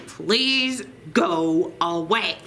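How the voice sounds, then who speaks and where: A woman's voice: fairly low pitch, animated, extremely slow, a bit bright, pitched below the performer's own voice, full character voice. A woman voicing a grumpy old seaside man with salty, firm, muttering talk in a storybook reading. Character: gruff, grumpy old seaside man who wants solitude.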